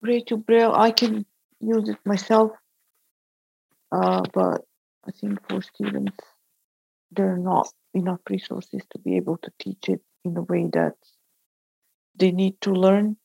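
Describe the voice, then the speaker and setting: A woman's voice: mid-range voice, animated, measured pace, vocal fry, pauses often, a little mellow, slightly rough, no room sound, low-quality recording. Brief: woman teacher on a panel, thoughtful answers, close dry sound. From North Macedonia. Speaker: braille teacher.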